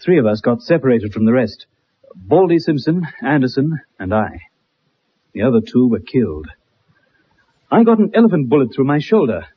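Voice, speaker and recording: An actor playing a bitter, haunted young ex-soldier in a dramatic 1960s radio play. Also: male voice, mid-range voice, very animated, moderate pace, long pauses, slightly rough. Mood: grave, reminiscing. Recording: muffled, dull old radio recording.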